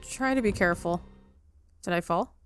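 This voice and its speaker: Lower-pitched woman reacting to a game. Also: normal speed, fairly bright tone, soft background music. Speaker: game player. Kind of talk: casual let's play commentary, reacting aloud.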